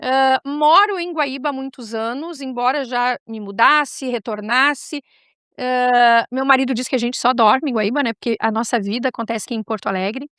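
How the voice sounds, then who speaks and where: A woman's voice: high voice, normal speed, a bit bright, clear, resonant tone, no echo, clean studio sound. A woman with a gaúcho accent from southern Brazil hosting a talk show, chatting casually, digressing and speaking straight to her viewers. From Rio Grande do Sul, Brazil.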